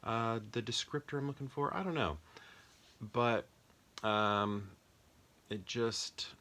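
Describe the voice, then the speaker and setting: A man giving a casual, off-the-cuff film review, rambling and often pausing to search for words. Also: medium pitch, bright, forceful tone.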